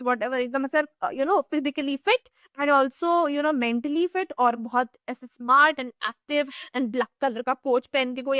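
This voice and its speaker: High-voiced Indian woman teaching. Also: a bit bright, dry recording, studio quality. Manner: chatty code-switching explainer with many "you know" fillers. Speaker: English teacher. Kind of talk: exam revision lesson.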